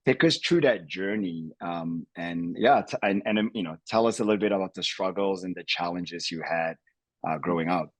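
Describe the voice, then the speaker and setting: A man hosting an interview podcast, speaking in a halting way with frequent restarts. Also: sits low, dry recording. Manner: halting, restarts words often. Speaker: podcast host, company CEO.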